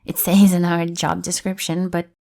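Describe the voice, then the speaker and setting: A woman's voice: on the low side, flat delivery, moderate pace, creaky, a little mellow. A woman playing a devoted, lovestruck bodyguard in an audio roleplay, earnest and awkward, often hastily correcting herself. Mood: flustered earnest. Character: devoted, flustered young female bodyguard, hopelessly lovestruck and clingy. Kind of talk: acted audio roleplay addressed to listener.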